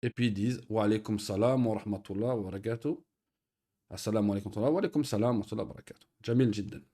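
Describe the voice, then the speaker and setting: A man teaching an Arabic lesson in French. He reads each Arabic phrase and then explains it patiently, with pauses and fillers. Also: fairly low pitch, warm tone. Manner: patient, repeats words slowly with pauses and fillers. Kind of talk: recorded online lesson.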